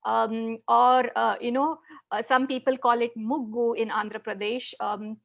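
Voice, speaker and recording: A woman with a high voice and a South Indian accent teaches an online class over a video call. Her delivery is halting, with words often repeated as she explains her slides. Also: level tone, vocal fry, lo-fi sound. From Chennai, South India. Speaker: teacher, online conference presenter. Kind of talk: interactive online lesson with slides.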